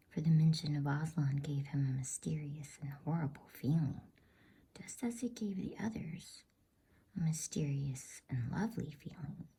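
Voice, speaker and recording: Slow, whispering woman reading bedtime stories. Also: low voice. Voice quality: breathy, soft whisper. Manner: gentle, unhurried, hushed. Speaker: host and reader of a bedtime story channel.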